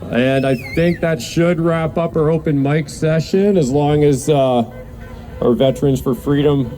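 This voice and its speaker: A man's voice: a little high, a little slow, dark tone, faint music behind. A man hosting an open mic, giving rambling, appreciative, informal announcements to a gathering. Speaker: event host at an open mic.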